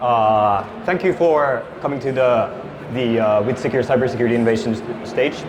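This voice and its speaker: A man's voice: medium pitch, moderate pace. Man from Finland, conference talk, handheld mic, lots of ums, echoey hall, background noise. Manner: casual, many fillers and restarts. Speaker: conference speaker, security consultant. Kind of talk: conference presentation. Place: conference stage in an exhibition hall.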